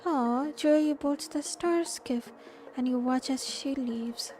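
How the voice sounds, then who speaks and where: A woman with an Indian accent and a high, soft-toned voice streams a game. She reads story text aloud and chats casually, with quiet music in the background. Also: slow.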